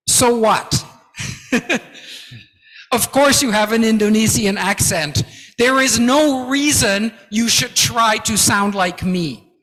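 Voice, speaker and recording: Slow, emphatic male lecturer in a hall. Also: sits high, sharp tone. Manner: emphatic, encouraging, counts aloud in drills. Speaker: guest lecturer. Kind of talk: interactive presentation-skills lecture. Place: large lecture hall with about two hundred people. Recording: echoey hall reverb.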